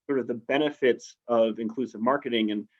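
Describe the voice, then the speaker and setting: A man's voice: medium pitch, steady tone, brisk. Man, persuasive and upbeat, casual interview guest, dull lo-fi sound. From United States. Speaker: interview guest, outdoor adventurer. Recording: slightly dull, lo-fi audio.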